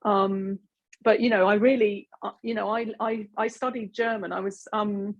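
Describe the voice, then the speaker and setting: Husky British woman presenting a webinar. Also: mid-range voice, level tone, normal speed, muffled recording. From Britain. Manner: chatty, many fillers and restarts. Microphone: video call.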